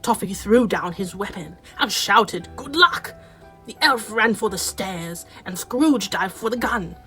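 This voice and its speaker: A woman playing a storybook narrator, reciting rhyming verse in a measured, dramatic way for a radio play. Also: mid-range voice, expressive, pushed and bright, faint music behind.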